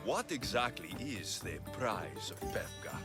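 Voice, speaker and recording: An actor playing a skeptical, blunt Greek mercenary hero in a video game, with loud music behind him. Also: male voice, on the low side, very animated, measured pace. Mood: skeptical, sardonic. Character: gruff, skeptical male Greek mercenary hero, dryly sardonic. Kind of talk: video game cutscene acting.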